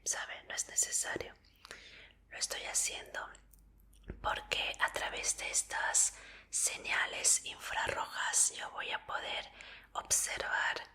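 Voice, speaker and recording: A woman doing a whispered ASMR medical roleplay in a breathy voice, speaking politely and reassuringly as an examiner. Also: bright, forceful tone.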